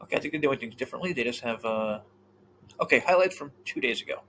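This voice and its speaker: A man co-hosting a casual podcast chat, animated and swearing freely, often trailing off mid-thought. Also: mid-range voice, quick pace, slight background noise. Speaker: podcast co-host.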